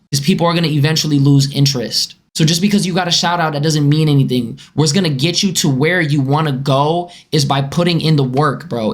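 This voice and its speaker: A young man with a high, boyish voice, streaming casually as he reacts to clips, animated and swearing.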